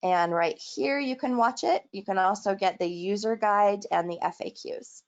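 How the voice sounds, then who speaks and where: A woman presenting a software walkthrough in an online training, explaining in a businesslike way. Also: mid-range voice, moderate pace. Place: online video call or webinar. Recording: muffled, lo-fi online call recording.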